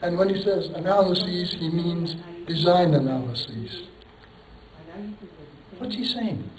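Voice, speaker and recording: Male lecturer, rambling and self-deprecating, fairly high voice, distant in an echoey hall. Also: takes it slowly, a crowd in the background. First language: Turkish. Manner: rambling, self-deprecating, poses rhetorical questions, chuckles. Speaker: engineering professor giving a lecture. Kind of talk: conference lecture.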